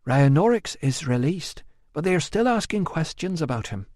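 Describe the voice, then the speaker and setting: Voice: mid-range voice, very animated, normal speed, darkish tone, no room sound, studio quality, pitched above the performer's own voice, close to an everyday voice. A narrator voicing a blunt, practical young woman who speaks curtly and firmly. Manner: curt, matter-of-fact, firm. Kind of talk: audiobook acting.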